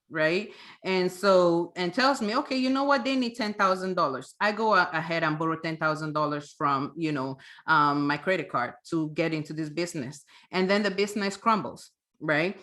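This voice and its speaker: A woman's voice: on the low side, a bit bright. A woman speaking as a financial coach and guest, explaining conversationally and emphatically, often checking in with rhetorical questions.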